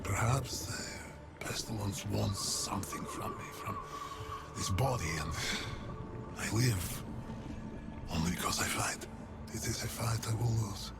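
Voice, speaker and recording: An actor playing an ancient, plague-corrupted forest elder in a video game, solemn, weary and mournful, with breathy, archaic, deliberate speech that sinks toward whispers. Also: male voice, on the low side. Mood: weary, resigned. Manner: grave, archaic, deliberate storytelling with long pauses. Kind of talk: video game character dialogue, dramatic lore monologue. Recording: loud background music under the voice. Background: music.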